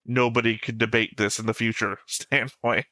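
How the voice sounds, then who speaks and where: A man's voice: mid-range voice, flat delivery, normal speed, sharp tone, no echo. A man in a casual fan discussion as a show co-host, talking in a pedantic, insistent way.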